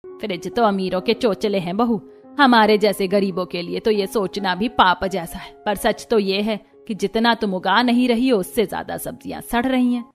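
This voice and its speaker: A woman's voice: mid-range voice, moderate pace, soft background music, natural voice. A woman voicing a firm, protective older village mother-in-law in a cartoon, motherly and admonishing. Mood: resigned.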